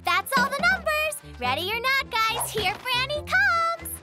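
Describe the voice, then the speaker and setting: A woman's voice: very high voice, very animated, measured pace, exaggerated voice. A woman voicing a confident, bubbly young girl fox in a children's cartoon. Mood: playful. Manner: bubbly, self-assured. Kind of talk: animated children's cartoon acting. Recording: music behind the voice.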